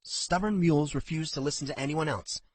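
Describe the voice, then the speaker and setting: A man's voice: fairly high pitch, fairly quick, no echo, voice pushed up, lightly acted. A man putting on a bossy, scolding innkeeper woman. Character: stern, commanding female innkeeper.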